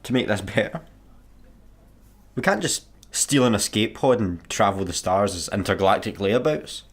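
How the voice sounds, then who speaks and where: An actor with a Scottish accent playing a daft, easygoing janitor in a sci-fi comedy radio play, casual and obtusely deadpan. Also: male voice, lowish voice, moderate pace, breathy edge. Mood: wry, casual. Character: daft, easygoing, literal-minded male slacker janitor.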